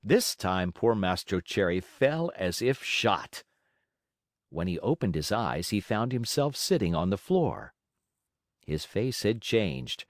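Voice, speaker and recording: A male audiobook narrator reads a children's tale with theatrical emphasis. His pitch is medium and swings very widely, his pace is unhurried, and the recording is dry. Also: big pitch swings, dry recording. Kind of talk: expressive children's storybook narration.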